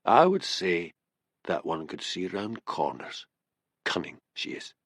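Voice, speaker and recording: A man voicing a sly, cunning old Highland seer, needling and teasing in an audiobook story. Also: sing-song, slow, fairly bright tone, slightly rough, dry recording, clean studio sound, heavily acted. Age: older.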